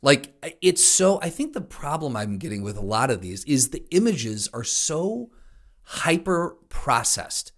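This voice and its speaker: A man's voice: mid-range voice, sing-song, a little slow, a bit bright. Exasperated, foul-mouthed man on a podcast. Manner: profane, exasperated venting. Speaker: podcast co-host. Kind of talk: casual chat about movies.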